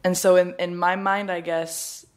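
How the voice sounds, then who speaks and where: A woman's voice: on the low side, level tone, unhurried. A woman answering interview questions in a rambling, thinking-aloud way with many fillers. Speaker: graduate student guest.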